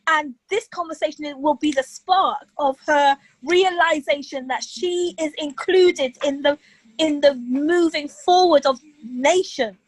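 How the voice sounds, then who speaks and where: Earnest high-voiced woman. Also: a little slow, low-quality recording. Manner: earnest and convinced.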